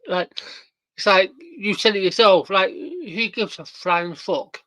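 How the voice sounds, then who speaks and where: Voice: medium pitch, animated, slow pace, a bit bright, dry recording, low-quality recording. A podcast host in a casual reaction chat, rambling and profane with many fillers.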